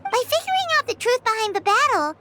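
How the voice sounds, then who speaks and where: A woman voicing a small, chirpy, frank fairy companion with a squeaky childlike voice in a video game. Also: big pitch swings, fast talker, pushed and bright, exaggerated voice. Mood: frank, curious. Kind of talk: English video game voice acting. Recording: background music under the voice.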